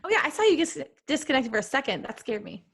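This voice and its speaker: A woman with a high voice that moves up and down a lot. She talks like a podcast co-host in casual chat, quick to drop in a joking aside. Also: sing-song. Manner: quippy, joking. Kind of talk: casual banter.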